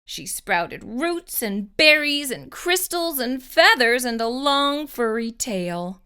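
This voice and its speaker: A woman narrating a children's storybook expressively, with deliberate, dramatic pauses. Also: high-pitched, very animated, bright, forceful tone, smooth. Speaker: children's storytime host reading aloud.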